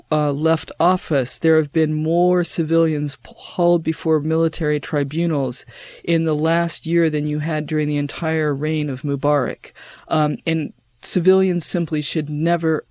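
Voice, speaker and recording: A man giving measured, emphatic interview answers as a human rights advocate, explaining point by point. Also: fairly high pitch, a little mellow, dry recording.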